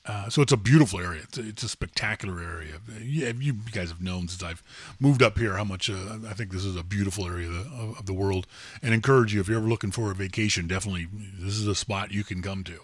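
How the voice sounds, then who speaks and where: A man's voice: lowish voice, fast, no echo. A man hosting a live tech podcast, rambling and joking in casual chat with many fillers and restarts. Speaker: podcast host.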